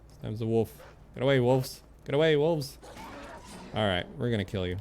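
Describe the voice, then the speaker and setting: A man's voice: mid-range voice, animated, moderate pace, a little mellow, slight background noise. A man doing casual, playful live gameplay commentary, talking to the characters in the game and swearing now and then. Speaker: game streamer.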